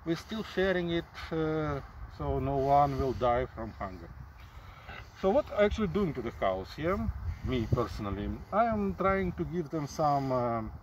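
A man in his forties with a Russian accent, talking in halting English and reciting poetry slowly and dramatically in an open field outdoors, recorded by a camera a few meters off. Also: mid-range voice, a little mellow. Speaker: performance artist. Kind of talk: deadpan comic monologue with recited poetry. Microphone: camera mic at a few meters. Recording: distant outdoor mic, faint field noise.

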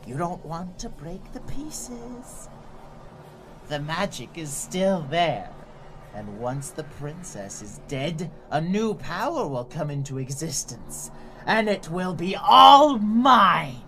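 A woman's voice: sits low, big pitch swings, slow, noisy background, exaggerated voice. A woman voicing a small, scheming bird-like male monster villain in a cartoon comic dub, taunting and theatrical. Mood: gloating menace.

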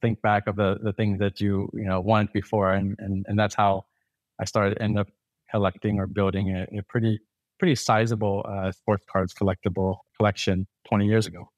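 A man with a lowish voice, recorded dry and close. He is a podcast guest who tells long, rambling stories full of restarts and fillers. He is in his forties and comes from Thailand. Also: level tone, moderate pace, no echo, lo-fi sound. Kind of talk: casual interview storytelling.

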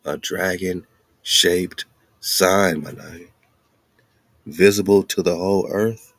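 Deep-voiced American man reading aloud. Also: no room sound, clean studio sound. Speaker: host. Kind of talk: reading a text aloud with off-the-cuff commentary.

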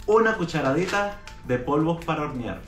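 A man's voice: fairly high pitch, animated, moderate pace. A man in his thirties hosting a home cooking video, explaining a recipe step by step in a casual way. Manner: instructive, walks viewers through steps. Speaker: cooking show host. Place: home kitchen, indoors. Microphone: handheld action camera. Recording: music playing underneath. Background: background music.

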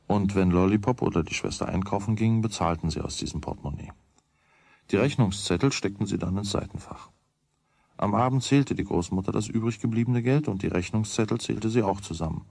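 A man's voice: fairly low pitch, creaky, darkish tone, no echo. A man narrating a children's radio play in a scripted storytelling style.

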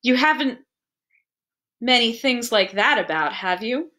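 A woman's voice: fairly high pitch, expressive, a little slow, sharp tone. A woman voicing a wary father in a storybook reading, polite but insistent and a little impatient. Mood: uneasy.

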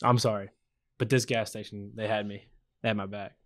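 A man's voice: lowish voice, level tone, brisk. Young man co-hosting, dry and joking. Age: 20s. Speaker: podcast co-host. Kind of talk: casual chat, friendly debate. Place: small room indoors. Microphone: podcast microphone on a stand, with headphones.

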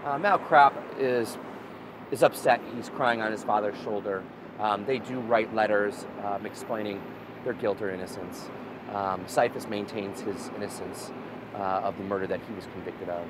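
Low-voiced man guiding a history tour. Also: moderate pace. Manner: storytelling, animated with many fillers and restarts. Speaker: walking tour guide. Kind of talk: casual guided history talk. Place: outdoors on a city street beside a prison wall. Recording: outdoor street with traffic noise.

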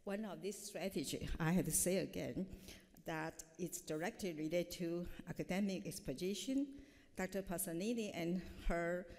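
Middle-aged woman, lowish mellow voice, reading a formal introduction at a podium in a big echoing hall. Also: moderate pace. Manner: reads from notes with frequent uh fillers. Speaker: professor introducing a keynote speaker. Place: large hall, indoors. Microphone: podium microphone. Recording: long hall reverb.

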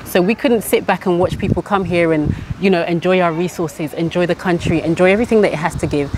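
Earnest British-raised woman, lowish voice. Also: fast, background noise. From United Kingdom. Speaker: guest. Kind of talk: casual interview. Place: outdoor garden patio.

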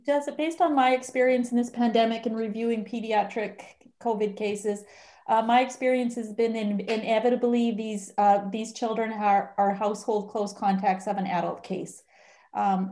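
A woman's voice: mid-range voice, warm tone. A woman doctor in her sixties, giving a careful clinical answer on a video call. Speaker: physician. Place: home room.

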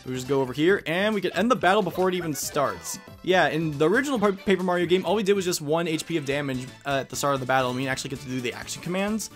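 High-voiced man doing game commentary. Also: racing, few pauses, fairly bright tone, faint music behind. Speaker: gaming video host. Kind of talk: casual let's play commentary.

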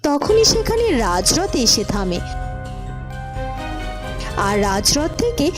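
Brief: woman narrator, very high voice, lively, children's music behind. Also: slow. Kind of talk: storybook narration.

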